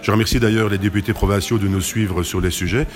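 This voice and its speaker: A low-pitched man speaks quickly. He answers as an interview guest, explaining point by point in a conversational way, often stopping to correct himself. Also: steady tone, a little hiss or hum. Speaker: carnival committee president, interview guest.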